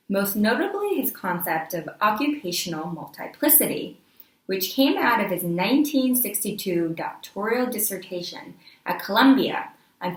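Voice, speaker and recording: A woman reading a scripted tribute essay aloud as narration. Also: medium pitch. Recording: roomy echo, distant microphone.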